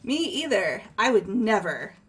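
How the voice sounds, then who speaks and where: A woman's voice: medium pitch, sing-song, a little slow, breathy edge, faint music behind. A young woman in a group game playthrough, voicing dialogue and joking casually.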